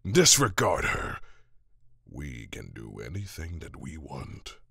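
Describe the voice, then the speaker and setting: Gravelly, deep, earnest god of life. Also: male voice, huge pitch swings, slow, a bit bright, dry recording, studio quality, heavily acted. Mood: pleading, defiant. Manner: pleading, earnest. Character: earnest, naive god of life, pleading gentle brother. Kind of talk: dramatic fantasy audio drama acting.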